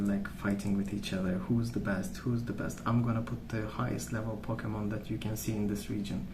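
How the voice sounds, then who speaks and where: Guy doing soft asmr rambling, lowish flat voice, kinda hesitant, roomy distant mic w colouring noises. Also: gravelly. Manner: hesitant, meandering, unhurried recollection. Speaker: ASMR video host. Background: pencil colouring sounds, background noise.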